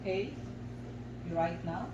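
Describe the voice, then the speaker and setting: A woman with a Filipino accent guides an art exercise as a facilitator, giving deliberate instructions in short, separated phrases. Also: lowish voice, highs cut off. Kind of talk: slow guided instruction for a radio lesson. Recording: echoey room, clear background noise.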